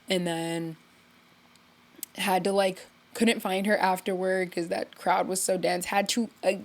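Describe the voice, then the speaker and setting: A woman's voice: lowish voice, creaky. A young woman hosting a podcast, congested from illness, chatting casually and rambling with lots of "like" as she tells a story. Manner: rambling, heavy use of "like", animated storytelling. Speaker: podcast host. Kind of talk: casual solo chat.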